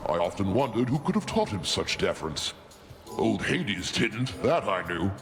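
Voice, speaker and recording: A fatherly divine uncle, warm and nostalgic, his fairly low voice swinging widely between high and low notes. Music plays behind him. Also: sing-song, moderate pace. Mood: fond. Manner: hearty, old-fashioned, warmly nostalgic. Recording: game dialogue over music.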